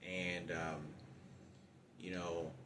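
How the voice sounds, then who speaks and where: The low-voiced man who tells you his hard-luck story unprompted, stopping and repeating himself, with faint ambient noise around him. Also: level tone, fairly bright tone. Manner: halting, repetitive, circling back over points. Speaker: storyteller recounting personal hardship. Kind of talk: unscripted personal monologue.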